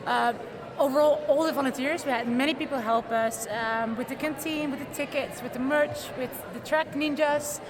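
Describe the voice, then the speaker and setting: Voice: sits high, normal speed. An event organizer giving thanks to helpers, hesitant with many fillers. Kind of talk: thank-you speech. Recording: crowd and background noise. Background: crowd.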